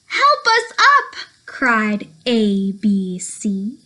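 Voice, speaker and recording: A young adult woman presenting a children's storytime, reading a picture book aloud in a slow, sing-song, very animated way, with playful whispers. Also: medium pitch.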